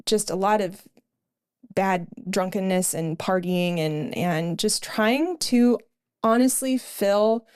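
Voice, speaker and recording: A woman's voice: medium pitch, takes it slowly, a little mellow, smooth. A middle-aged woman co-hosting a podcast, telling her personal testimony in an earnest storytelling way with frequent fillers. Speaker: podcast co-host.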